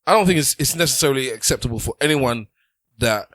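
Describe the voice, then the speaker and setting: A man gives his view in a casual podcast discussion. His mid-pitched voice is recorded dry and close, and he leans on repeated phrases for emphasis. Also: animated, fast, fairly bright tone, no room sound. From Britain. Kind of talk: casual opinion-giving.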